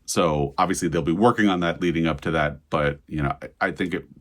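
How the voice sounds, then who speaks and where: Man, deep voice, book vlogger, casual commentary, enthusiastic, reads quotes aloud. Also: a little fast, fairly bright tone. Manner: enthusiastic, repeats phrases for emphasis.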